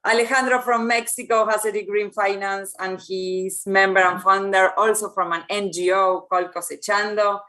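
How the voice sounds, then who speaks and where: A woman's voice: mid-range voice, fairly even intonation, normal speed, few pauses, bright, forceful tone. Woman moderating, hesitant. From Argentina. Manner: hesitant, searching for words, many fillers. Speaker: panel moderator. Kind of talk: online panel moderation.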